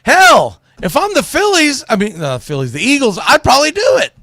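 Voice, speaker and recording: A man with a high, very lively voice delivers sports commentary. His tone is bright and forceful, and he talks in a blunt, folksy, exasperated way, like a radio host on a rant. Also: normal speed, dry recording, studio quality. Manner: exasperated ranting, blunt, mildly salty, folksy.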